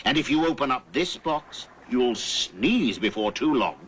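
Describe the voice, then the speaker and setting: Man as children's puppet character posing riddles; animated mid-pitched voice, teasing stresses, slightly noisy old tape. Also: unhurried, natural voice.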